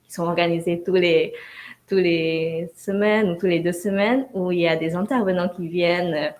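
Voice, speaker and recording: A young woman, a first-year business student, calmly describing her school week and plans in an interview. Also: fairly low pitch, moderate pace. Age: young adult. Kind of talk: live interview answers.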